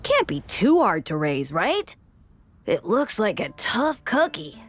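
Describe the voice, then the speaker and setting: A woman's voice: mid-range voice, huge pitch swings, slow, a little hiss or hum. A woman playing a cheerful, sweet young church girl in a video game, speaking eagerly. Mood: hopeful pleading. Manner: eager, earnest. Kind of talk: video game character dialogue.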